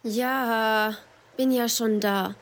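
A woman's voice: fairly high pitch, fairly even intonation, very slow. A woman playing a sulky, slangy teenage girl who narrates her family life in a children's radio play. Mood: annoyed. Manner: casual, grumbling youth slang. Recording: music playing behind the voice. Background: loud music.

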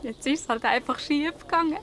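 A teasing Swiss woman in a radio comedy. Her voice is high and playful, full of mock innocence and good humour. A faint noise sits under the older recording. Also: brisk, a bit bright. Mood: mock-innocent. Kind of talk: radio comedy play acting.

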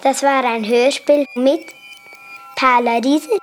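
A woman's voice: high-pitched, steady tone, slow pace, soft background music. A female speaker reading out the credits of a radio play.

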